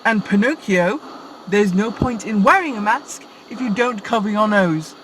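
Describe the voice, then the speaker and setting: A woman's voice: mid-range voice, expressive, moderate pace, a little hiss or hum, close to an everyday voice. A woman playing a bossy, sarcastic, exasperated supervisor in Santa's workshop in a radio play. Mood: scolding. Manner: scolding, exasperated.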